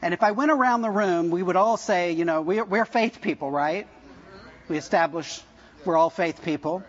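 Husky-voiced pastor in his fifties, joking. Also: high voice, moderate pace, muffled recording. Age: 50s. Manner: conversational, jokey, asks the congregation questions. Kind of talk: sermon.

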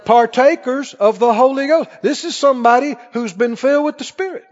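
A man's voice: very high-pitched, brisk, a little mellow. A man preaching in an emphatic, questioning way, teaching from the Bible to a congregation. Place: large church hall, before a congregation. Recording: muffled, echoing large hall.

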